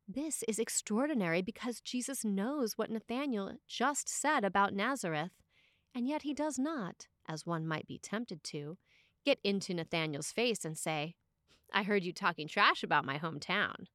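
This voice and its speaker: A woman delivers a scripted sermon as a priest would from a prepared text. Her pitch sits in the middle and she speaks deliberately, pausing for emphasis. The recording is dry, with hardly any room sound. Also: expressive, fairly bright tone, no echo.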